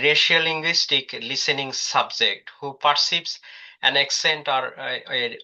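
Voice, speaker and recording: A man's voice: medium pitch, bright, forceful tone. A man presenting a workshop on a video call, hesitant and full of filler sounds. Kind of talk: online professional development talk. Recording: dull lo-fi video call audio.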